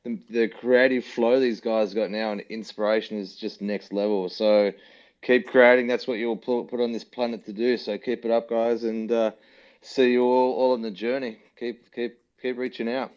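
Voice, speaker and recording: A man's smooth voice comes through a dull, lo-fi connection. He speaks as a guest in casual, encouraging chat, with fairly flat intonation. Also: mid-range voice, moderate pace. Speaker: interview guest.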